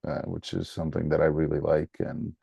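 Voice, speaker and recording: A man from Portugal with a Portuguese accent, talking casually as a guest in a hesitant, halting way. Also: very deep voice, level tone, creaky, warm tone, breathy edge, no room sound. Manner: hesitant, halting, repeating words. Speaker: podcast guest, soccer coach. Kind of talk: casual conversation.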